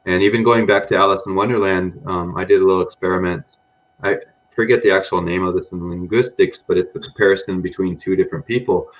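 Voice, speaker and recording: Man, mixed american accent, very deep voice, rambling casual guest, muffled lo-fi audio. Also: fairly even intonation, quick pace. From grew up in Japan among Americans. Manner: rambling, frequent "you know" and "um". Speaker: podcast guest, data scientist. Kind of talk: casual podcast interview.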